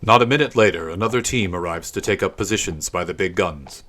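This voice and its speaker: Deep-voiced male story narrator. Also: fairly quick, fairly bright tone. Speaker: narrator of a literary story podcast. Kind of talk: scripted dramatic story narration, present tense.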